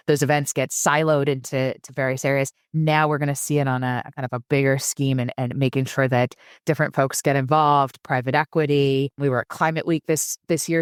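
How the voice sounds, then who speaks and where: A woman's voice: deep voice, smooth, no echo, studio quality. A woman who grew up in San Francisco and Germany, a podcast guest talking casually and enthusiastically, often repeating words as she starts a phrase. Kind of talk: casual interview talk.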